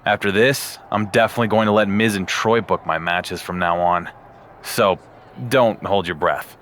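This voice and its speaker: A self-assured champion wrestler from a video game cutscene. His voice sits a little low and he talks drily and dismissively, over faint background noise. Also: fairly even intonation, moderate pace, fairly bright tone. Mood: exasperated, sarcastic. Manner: dry, dismissive. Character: confident, dryly sarcastic young champion male wrestler.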